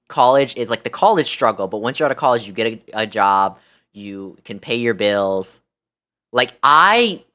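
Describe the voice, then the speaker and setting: Bright-voiced young American man, exasperated. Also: mid-range voice. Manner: animated, exasperated ranting with swearing. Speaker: live streamer. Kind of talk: casual livestream chat.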